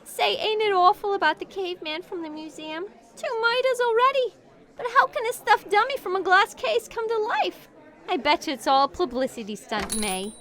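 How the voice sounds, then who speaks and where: A woman's voice: extremely high pitch, expressive, normal speed, a bit bright. A woman playing a chatty young sales clerk, polite and easily frightened, in old-time radio drama style. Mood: gossipy.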